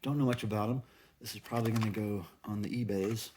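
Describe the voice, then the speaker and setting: A man's voice: fairly low pitch, steady tone, quick pace, very noisy. A middle-aged man chatting casually as a vlog host, musing aloud in a rambling way with folksy, playful slang. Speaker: video channel host. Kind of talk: casual haul vlog chat.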